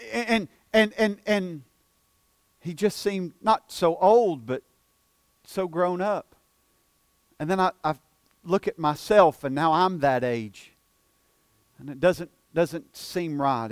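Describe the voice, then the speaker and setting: High-voiced man preaching. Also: slow pace, pauses often, no echo. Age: 40s. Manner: earnest, repeats and stumbles on words, drops soft for emphasis. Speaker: pastor. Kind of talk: sermon.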